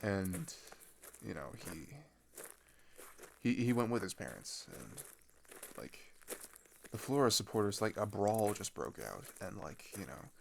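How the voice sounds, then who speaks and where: A young man playing a scared, grieving older teenage boy in an intimate audio roleplay, talking in a halting, casual, rambling way. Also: on the low side, sing-song, creaky, slight background noise, heavily acted. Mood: uneasy, heavy. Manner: halting, rambling, casual youthful speech with sighs.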